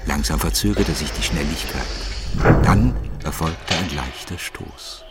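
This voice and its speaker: A man reading scripted literary narration in a measured, formal manner. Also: very deep voice, loud music behind the voice.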